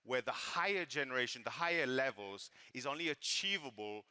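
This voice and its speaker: A man with a French accent speaks from a stage with a crisp, bright tone. He leans on words and repeats them for emphasis, playing with the audience between points. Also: mid-range voice, expressive, a little fast. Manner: emphatic, repeats words for stress, playful with the crowd. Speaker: co-presenter at a business event. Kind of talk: live stage presentation.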